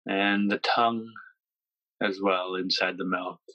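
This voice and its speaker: A man guiding a yoga nidra meditation, speaking slowly and gently with pauses between phrases. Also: low voice, level tone, dry recording, lo-fi sound. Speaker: meditation guide.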